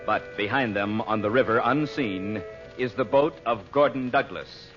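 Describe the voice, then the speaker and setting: A man's voice: on the low side, a bit bright. Portentous radio announcer, man, over music. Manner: portentous, measured. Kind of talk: dramatic old-time radio serial narration. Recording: dull old radio recording with music.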